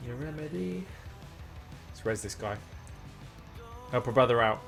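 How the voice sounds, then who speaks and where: A man's voice: medium pitch, expressive, unhurried, gravelly. A man live-streaming a game, commenting casually as he plays, thinking aloud, asking questions and reading menu items out. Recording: music playing behind. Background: guitar music.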